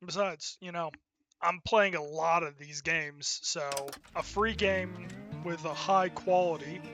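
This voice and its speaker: A man's voice: fairly high pitch, steady tone, takes it slowly, over music. A man giving casual, unscripted game commentary as a streamer, rambling and thinking aloud as he goes.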